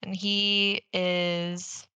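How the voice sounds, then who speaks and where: A woman co-hosting a podcast in casual, excitable chat, informal and given to swearing. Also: on the low side, extremely slow, clean tone, dry recording. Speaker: podcast co-host. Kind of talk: casual enthusiastic chat about anime.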